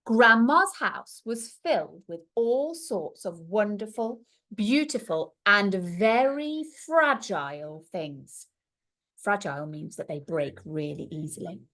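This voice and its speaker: A woman reading a storybook aloud to young children like a primary teacher, expressively and with little asides to her listeners. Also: medium pitch.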